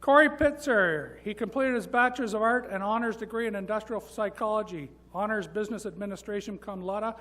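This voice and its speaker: A man's voice: high voice, brisk, few pauses, pushed and bright. Man reading a speaker introduction. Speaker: conference emcee. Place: conference hall.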